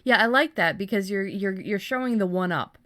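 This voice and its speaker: A woman's voice: medium pitch, fairly quick, a bit bright, dry recording, studio quality. A woman hosting a podcast in casual chat, informal and given to acting out imagined remarks. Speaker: podcast host.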